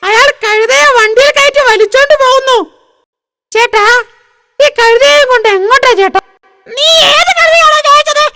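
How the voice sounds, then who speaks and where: A man putting on the voice of a cheeky village boy in a cartoon, taunting and shouting. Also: very high voice, normal speed, voice pushed up, full character voice. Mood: mocking.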